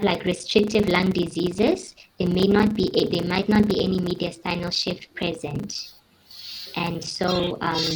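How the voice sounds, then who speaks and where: A woman's voice: fairly low pitch, a little mellow, a little hiss or hum, rough recording. A woman giving a medical teaching presentation, explaining in a careful and somewhat hesitant way.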